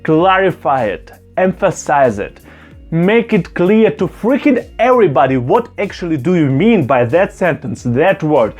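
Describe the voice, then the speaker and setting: Man vlogging to camera, non-native english speaker, slightly high voice, earnest and emphatic, soft music behind. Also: sing-song, a little slow. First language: not German; Russian-speaking background. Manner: earnest, emphatic, repeats phrases for stress. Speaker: YouTuber. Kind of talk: direct-to-camera opinion vlog. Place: indoors, talking to camera.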